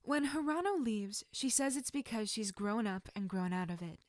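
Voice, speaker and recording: A woman reading scripted fiction aloud as an expressive narrator. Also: mid-range voice, normal speed, dry recording.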